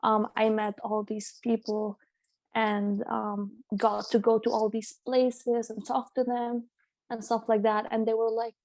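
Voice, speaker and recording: A woman's voice: a little high, level tone, darkish tone, no room sound. A young woman from Venezuela talking casually as an interview guest, laughing often and restarting her sentences. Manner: frequent laughter, halting restarts.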